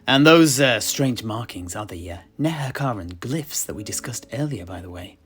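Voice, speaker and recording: A man's voice: mid-range voice, animated, moderate pace, fairly bright tone, slightly rough. A man playing a genial, bookish tavern keeper and amateur historian who talks in a hesitant, musing, polite way. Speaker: host and narrator of a fictional history chat. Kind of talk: in-character lore storytelling, unhurried. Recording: music playing behind the voice. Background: guitar-like instrumental music.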